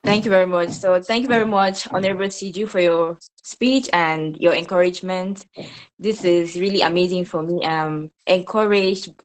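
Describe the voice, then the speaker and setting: Lady asking a question on a video call, lowish voice, pauses and restarts a lot. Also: steady tone, poor audio. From Cameroon. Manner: hesitant, searches for words. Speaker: program participant asking a question.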